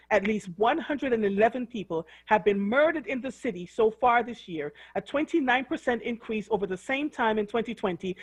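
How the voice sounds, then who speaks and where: Husky-voiced woman radio host. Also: medium pitch. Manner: earnest, emphatic, with frequent fillers. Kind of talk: reading a news article aloud, then commenting.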